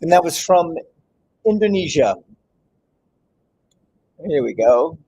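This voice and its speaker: A man's voice: on the high side, very animated, slow pace, mellow, slightly rough, lo-fi sound. A man hosting a film festival screening, talking casually and hesitantly with many fillers.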